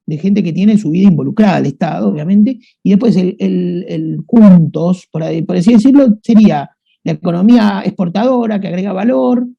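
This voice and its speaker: A man's voice: sits high, brisk, gravelly, mellow, husky. A man from Buenos Aires with a Rioplatense accent, speaking as a guest in a casual interview, rambling with many restarts and fillers. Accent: Rioplatense Spanish. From Buenos Aires, Argentina. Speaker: guest writer. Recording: dull lo-fi sound.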